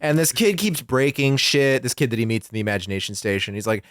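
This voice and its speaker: A man talks as a podcast co-host in a loose, colloquial way. His pitch moves around in a lively way as he recounts things. The sound is close and dry, suited to casual comedy chat. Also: fast, no echo.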